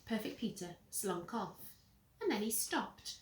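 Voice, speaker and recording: A woman reading a children's story aloud in a dramatic, deliberate, expressive way, like a teacher with pupils. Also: medium pitch. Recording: roomy echo.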